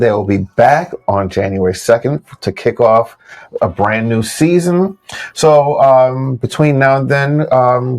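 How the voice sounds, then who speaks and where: A man's voice: mid-range voice, a little mellow. Casual male recap show host. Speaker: podcast host signing off the show. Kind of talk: casual recap panel chat.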